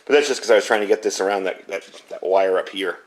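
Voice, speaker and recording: A man's voice: sits low, fast talker. Casual man reviewing gear. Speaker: product reviewer. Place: indoors at home.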